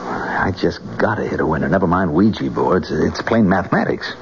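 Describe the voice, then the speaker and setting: An American actor playing a weary, self-pitying, debt-ridden gambler husband who frets and confesses in a 1970s radio drama. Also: male voice, sits low, expressive, fairly quick, vocal fry, slight background noise. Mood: uneasy. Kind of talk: 1970s radio drama acting, narration and dialogue. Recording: muffled old radio broadcast with music.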